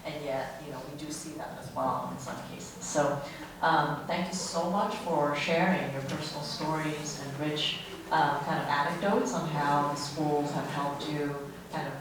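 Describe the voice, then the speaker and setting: Low flat lady moderator, says "kind of" a lot, distant echoey hall with murmur. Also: a little slow, noisy background. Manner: filler-heavy, lots of "kind of". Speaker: panel moderator. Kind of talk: closing panel remarks. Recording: echoey hall mic, far off.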